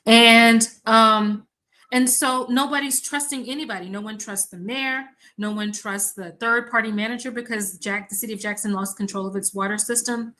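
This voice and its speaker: A woman from Mississippi, an academic researcher, telling stories in an animated, conversational way during a panel discussion. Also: a little high, normal speed. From Mississippi, USA. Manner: animated storyteller, acts out exchanges.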